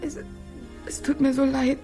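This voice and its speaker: A woman's voice: high voice, flat delivery, measured pace, over music. An actress playing a desperate, frightened young addicted daughter in a German radio crime drama, stammering and restarting her pleading phrases. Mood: frightened, remorseful. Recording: 1980s studio radio play.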